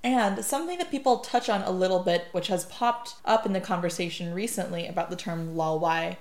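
A woman's voice: on the low side, brisk. A woman co-hosting a podcast, asking curious questions and reading scripted lines. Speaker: podcast co-host. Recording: echoey room.

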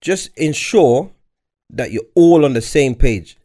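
A man's voice: medium pitch, expressive, slow, mellow. A man hosting a podcast, chatting casually and repeating himself as he pushes his point. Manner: talks over others, stammers repeats while pushing a point. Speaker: podcast host. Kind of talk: casual chat.

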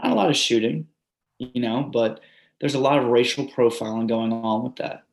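A man's voice: mid-range voice, moderate pace, gravelly, echoey room, natural voice. A man reading as a lifelong neighborhood resident, talking candidly in a conversational way with "you know" asides, heard over a video call. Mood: earnest. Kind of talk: readers theatre monologue.

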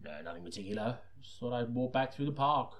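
Exasperated audiobook reader, played by a man, medium pitch, hesitant and grumbling in casual between-takes chat. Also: animated, fast talker, fairly bright tone, lightly acted. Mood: weary, dismayed. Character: exasperated audiobook reader, weary and doubtful of the script.

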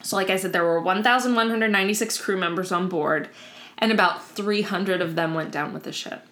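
A woman's voice: medium pitch, fairly quick. Chatty woman co-host telling history. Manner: relaxed storytelling, frequent "um" and "like". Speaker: podcast co-host. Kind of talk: casual history podcast chat.

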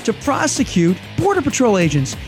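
A man's voice: extremely high pitch, wildly swooping pitch, moderate pace. A man hosting talk radio, delivering an indignant and emphatic political commentary in a played clip. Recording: radio clip over background music.

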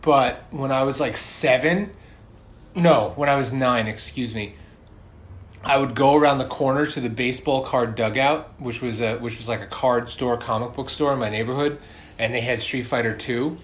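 A man's voice: mid-range voice, moderate pace. A man in his thirties chatting casually and telling personal stories as a podcast co-host. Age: 30s. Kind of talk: casual chat and personal storytelling. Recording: clearly noisy background ambience.

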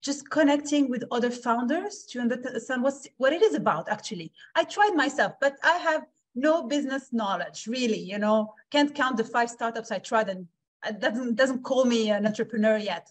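Higher-pitched woman in her forties, podcast guest. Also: lively intonation, moderate pace. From Switzerland. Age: 40s. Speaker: podcast guest, founder and investor. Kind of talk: conversational interview storytelling.

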